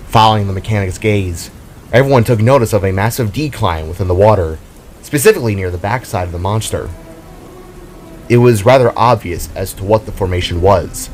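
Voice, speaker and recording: A man's voice: lowish voice, fairly quick, darkish tone, slight background noise. A man reading scripted, dramatic fantasy narration as a storyteller, with rain-like ambience behind him.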